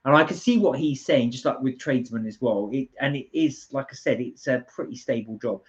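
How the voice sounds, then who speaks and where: A man's voice: medium pitch, fairly quick. A man with a British accent co-hosting a casual live stream, chatting in a rambling, hesitant way and reading out viewer comments. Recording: dull, lo-fi livestream audio.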